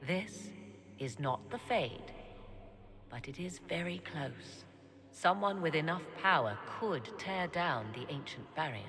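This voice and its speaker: An actress playing a cryptic, self-assured sorceress in a fantasy video game, speaking deliberately and theatrically in archaic phrasing. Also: female voice, low voice, lively intonation, crowd noise. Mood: grave, urgent. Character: cryptic, self-assured sorceress, adult woman with arcane knowledge.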